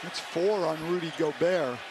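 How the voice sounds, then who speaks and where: A man's voice: fairly high pitch, moderate pace. A man giving opinionated, explanatory colour commentary on a live basketball game. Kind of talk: analytical live sports commentary. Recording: broadcast under loud arena noise. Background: loud basketball arena ambience.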